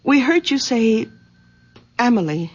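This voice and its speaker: Middle-aged daughter, female: high, husky, anxious and protective, earnest vintage radio drama delivery, dull recording. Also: very animated, unhurried, darkish tone. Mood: probing. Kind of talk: 1970s radio drama acting.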